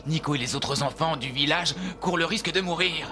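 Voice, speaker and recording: A man's voice: a little high, a little fast, lightly acted. A man voicing an earnest, determined wolfdog hero in a French cartoon dub. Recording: music behind the voice.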